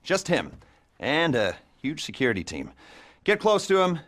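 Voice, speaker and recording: A man's voice: fairly high pitch, huge pitch swings, normal speed, fairly bright tone. An actor playing a middle-aged criminal mastermind who briefs his crew with awkward, self-mocking humor and nervous laughter, in a video game cutscene. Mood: wry. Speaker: heist planner briefing a crew.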